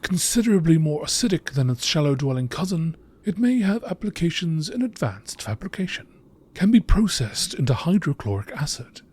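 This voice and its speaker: A man reading scripted lore in a nature documentary narration style, with measured, deliberate phrasing. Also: fairly high pitch, big pitch swings, darkish tone. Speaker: video creator reading game lore aloud. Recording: clearly noisy background.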